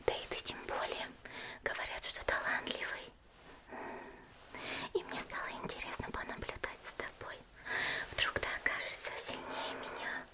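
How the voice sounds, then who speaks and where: A sweet, bashful young swordswoman acted by a woman for an ASMR roleplay. She whispers softly and hesitantly, coy and full of admiration for the listener. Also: moderate pace, pauses often, natural voice. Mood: sweet, proud. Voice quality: soft whisper.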